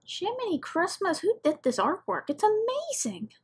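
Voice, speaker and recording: A woman's voice: extremely high pitch, big pitch swings, moderate pace. A woman giving casual reaction commentary as she watches.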